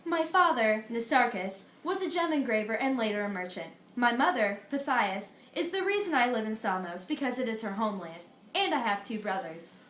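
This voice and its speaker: Earnest Greek philosopher, played by a teenage girl; high, roomy school-project sound. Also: animated, fairly bright tone, slight background noise. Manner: earnest, recited, a little halting. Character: ancient Greek philosopher Pythagoras, an older man, earnest. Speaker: student in a school history project. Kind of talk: amateur mock interview, reciting facts.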